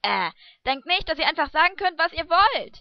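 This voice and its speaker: A woman's voice: very high voice, very animated, moderate pace, noisy background, exaggerated voice. A young woman in an amateur anime fandub voicing a flustered, earnest elementary-school girl. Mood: flustered, indignant. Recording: muffled, dry home microphone.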